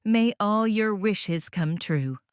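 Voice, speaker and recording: A woman's voice: mid-range voice, lively intonation, takes it slowly, clean tone, no room sound, studio quality. An actress playing a cheerful, friendly married mother.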